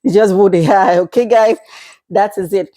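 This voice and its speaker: Nigerian woman, 30s, casual vlog host, talkative with easy laughs, mid-pitched voice. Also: normal speed, dark tone. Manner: chatty, laughs often, acts out quoted exchanges. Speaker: vlogger. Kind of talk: casual talk to camera.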